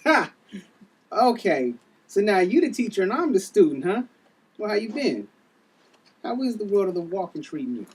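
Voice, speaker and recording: A man's voice: high voice, big pitch swings, normal speed, pauses often, slightly rough, full character voice. A man playing a protective, flirtatious gym trainer in a table read, with casual slangy speech. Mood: teasing, flirty. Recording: noisy, distant room recording.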